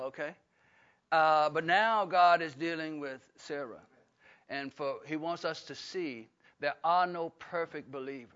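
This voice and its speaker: A man's voice: on the high side, bright, forceful tone. An older man, preaching a folksy Bible-study sermon full of fillers and restarts. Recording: dull lo-fi recording.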